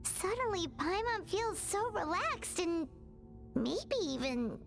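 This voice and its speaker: Tiny squeaky game sidekick girl, very high, swoops around, expressive, music behind. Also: takes it slowly, vocal fry, heavily acted. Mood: bright wonder. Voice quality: squeaky, childlike. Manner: expressive, refers to self in third person. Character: small excitable childlike female floating companion.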